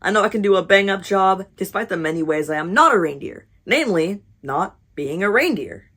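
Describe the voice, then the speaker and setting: A woman's voice: medium pitch, expressive, brisk, fairly bright tone, slightly rough. A woman voicing an eager, earnest, cheerful male moose in a storybook, politely formal as he applies for a job. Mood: apologetic, earnest. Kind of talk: storybook read-aloud.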